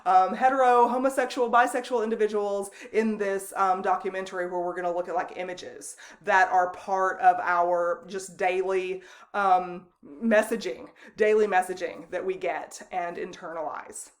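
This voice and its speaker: A woman instructor giving a recorded online lecture, speaking loosely and conversationally with frequent ums and restarts and a loud voice. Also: medium pitch, fairly even intonation, moderate pace. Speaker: college instructor.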